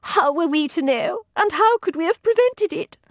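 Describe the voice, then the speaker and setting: Casting: devoted young lady-in-waiting voiced by a woman, high and lilting, fluttery, fretful, earnest; storybook drama. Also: expressive, moderate pace, no room sound, clean studio sound. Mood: anxious soothing. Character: adoring young lady-in-waiting, soft-hearted yet bickering and fretful.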